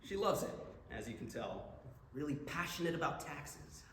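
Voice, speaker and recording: A man's voice: a little high, very animated, normal speed. Guy acting geeky college dungeon master arguing earnestly, really up and down voice, reverby noisy hall. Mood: wry. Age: young adult. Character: male college student game master, nerdy, argumentative space geek. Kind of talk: staged play reading. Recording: echoey hall, long reverb, background noise.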